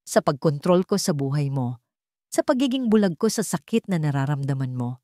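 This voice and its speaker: A woman's voice: low voice, very animated, normal speed, warm tone, no echo, clean studio sound. A woman voicing an older father, a fallen tycoon, who apologizes in a broken, pleading manner. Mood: remorseful, pleading.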